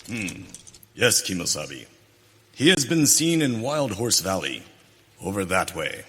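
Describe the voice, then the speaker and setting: A man playing a loyal, watchful western sidekick in radio theater, with measured, formal, simple phrasing. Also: deep voice, sing-song, a bit bright, heavily acted. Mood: thoughtful. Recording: reverberant hall sound.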